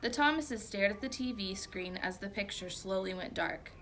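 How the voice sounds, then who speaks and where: A woman reading a children's story aloud like a teacher, with expressive, emphatic narration. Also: medium pitch, moderate pace, a little hiss or hum.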